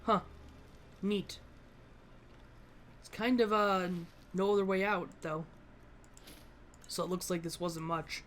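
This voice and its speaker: A woman giving casual let's play commentary on a game, low-key and musing aloud. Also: fairly even intonation, takes it slowly, long pauses, fairly bright tone, a little hiss or hum. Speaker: gamer narrating a playthrough. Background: faint game music.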